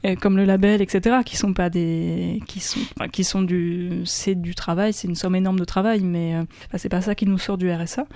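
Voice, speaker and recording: A young woman with a mellow, warm tone talks through her band's songs and her art work in a relaxed way. The sound is dry, with no room around her voice. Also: lowish voice, a little fast, few pauses, no room sound. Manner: relaxed, explanatory with soft laughs. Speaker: band member, interview guest. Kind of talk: casual radio interview.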